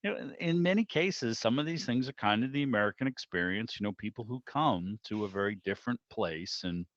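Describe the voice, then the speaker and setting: Low-voiced man, roomy muffled call. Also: expressive, brisk. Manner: rambling, discursive, circles around his point. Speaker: interviewer, club meeting host. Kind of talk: casual interview chat. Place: indoors on a video call.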